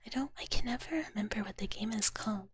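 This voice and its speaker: A woman streaming ASMR in a soft, breathy whisper, chatting casually and playfully with viewers up close to the microphone. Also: mid-range voice, fast, no room sound. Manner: playful, speaks of self in third person. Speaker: ASMR livestreamer.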